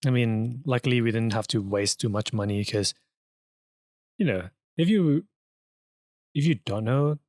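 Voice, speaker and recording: A man's voice: medium pitch, a little slow, pauses often, a little mellow, no echo, clean studio sound. A man co-hosting a podcast, chatting casually and informally. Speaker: podcast co-host and audio editor. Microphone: close podcast microphone.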